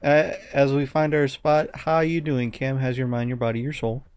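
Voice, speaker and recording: Young male podcast co-host, mid-range pitch, informal and slangy conversational delivery. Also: level tone, moderate pace, a little mellow, clear, resonant tone. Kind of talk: casual chat.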